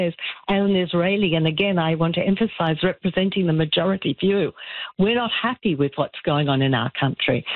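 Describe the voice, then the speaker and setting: A man's voice: high voice, no echo. Australian man on a phone line. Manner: earnest, halting with many restarts. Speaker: caller. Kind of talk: call-in discussion. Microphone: telephone.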